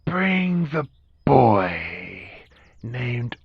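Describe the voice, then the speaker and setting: A man voicing a giant, ominous celestial snail monster in an audio horror play, hissing and gravelly, with a slow, solemn delivery. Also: medium pitch, very animated, no room sound, highs cut off, voice pushed up, full character voice.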